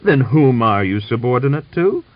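Man acting out a blustering, hoarse, ageing general, dull recording. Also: mid-range voice, sing-song, normal speed, no room sound, pitched above the performer's own voice, natural voice. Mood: imperious, angry. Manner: blustering, commanding. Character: irascible ageing imperious male general, barking orders.

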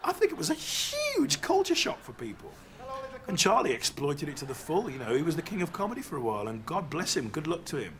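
A man speaking in a documentary interview, reflective and lively, recorded in a living room. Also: on the high side, a little fast, crowd noise. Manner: reflective, mimics voices when quoting. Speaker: interviewee, comedian.

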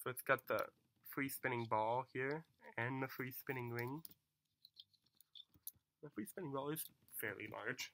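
A young man talks through a toy unboxing with eager, chatty energy and a bright, forward tone. He is coming down with a cold. It sounds like a camera mic in a small room at home. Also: medium pitch, a little slow, long pauses, slight background noise. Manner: excited, chatty, self-deprecating. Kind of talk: casual unboxing commentary.

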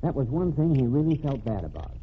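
Guy doing a cool tough ex-reporter, lively swingy delivery, muffled old radio hiss. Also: medium pitch, fairly quick, natural voice. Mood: explaining. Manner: cool, wisecracking, menacing. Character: cocky undercover ex-reporter man, cool tough. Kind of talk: 1940s radio acting.

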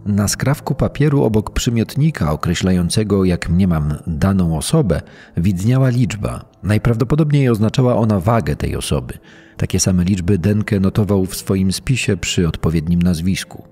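A man playing a sober investigator, reporting evidence in a measured, formal, scripted true-crime reading with a husky voice. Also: deep voice, animated, warm tone, faint music behind.

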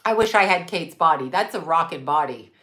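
A woman's voice: low voice, expressive, brisk, sharp tone. Blunt, profane middle-aged woman, stuffy nose. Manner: blunt, profane, emphatic with hand gestures. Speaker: live-stream host. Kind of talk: casual live-stream rant. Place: home room, indoors.

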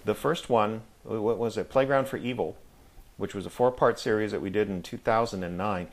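A middle-aged American man reminiscing casually as a guest in an interview, speaking in a reflective, halting way. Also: fairly low pitch. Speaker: guest, audio drama actor.

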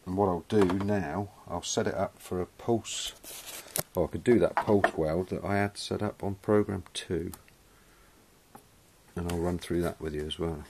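A man's voice: sits low, a little slow. A man giving a casual welding demonstration in a workshop, matter-of-fact and chatty, calling people "mate". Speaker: welding instructor. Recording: noisy workshop camera audio.

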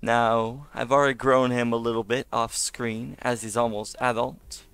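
A man with a raspy voice, hoarse from being sick, giving casual gameplay commentary, chatty, laughing often, with sudden excited outbursts. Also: medium pitch, moderate pace, dry recording. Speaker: gaming YouTuber.

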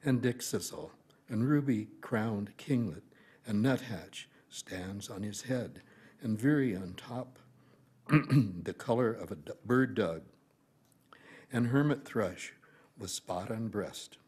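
A man in his seventies with a husky voice, reading a poem aloud at a podium microphone. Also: on the low side, slow pace, darkish tone. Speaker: amateur reader in a reading-aloud group. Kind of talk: poetry recitation. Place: library meeting room, indoors.

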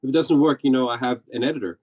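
A man's voice: medium pitch, rapid-fire, a little mellow, breathy edge. A man in his forties, a TV director, talking casually on a video call from home, thinking aloud with restarts. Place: home room.

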